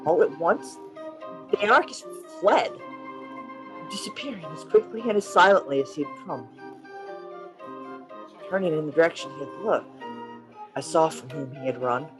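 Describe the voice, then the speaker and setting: A woman's voice: low-pitched, lively intonation, moderate pace, long pauses, over music, rough recording. A woman playing an earnest male poet-policeman who narrates his strange adventure in an amateur radio play reading. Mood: suspenseful narration. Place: remote reading over a video call.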